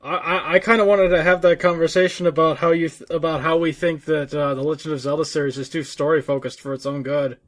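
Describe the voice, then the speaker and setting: A man with a high-pitched voice co-hosts a casual gaming podcast. He talks haltingly, thinking out loud and repeating words as he works toward his point. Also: steady tone. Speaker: podcast co-host.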